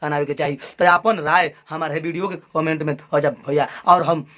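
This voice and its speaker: A man's voice: fairly high pitch, fairly even intonation, moderate pace, pushed and bright. A man with a Bhojpuri accent hosting an informal video news commentary, speaking straight to his viewers. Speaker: video channel host.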